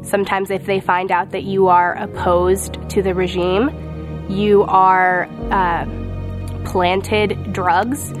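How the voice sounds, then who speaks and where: A woman from Venezuela who grew up in Texas, giving an earnest, measured personal testimony. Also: mid-range voice, level tone. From born in Venezuela, raised in Texas, United States. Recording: music playing behind the voice. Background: background music.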